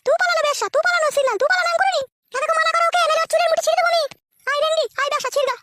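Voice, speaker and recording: Cartoon wife role: extremely high, very fast, jealous, abusive, pitched-up effect. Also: heavy background noise. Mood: furious, taunting. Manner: ranting, insulting, rapid-fire quarrelling. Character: shrill, foul-mouthed jealous village wife in cartoon. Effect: pitched-up, sped-up voice. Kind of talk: comedy cartoon dubbing with altered high-pitched voice.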